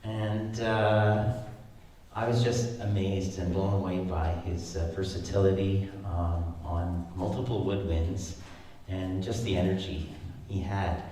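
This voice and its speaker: A man in his sixties, a flute player, giving halting spoken remarks at a concert with many fillers and restarts. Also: deep voice, fairly even intonation, mellow. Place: large concert hall. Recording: echoey concert hall from far off.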